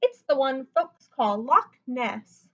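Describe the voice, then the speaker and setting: A woman's voice: high voice, very animated, slow, highs cut off, full character voice. A woman voicing a jovial, hearty uncle from Scotland in a children's storybook. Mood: cheery. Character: jovial Scottish uncle bearing odd gifts.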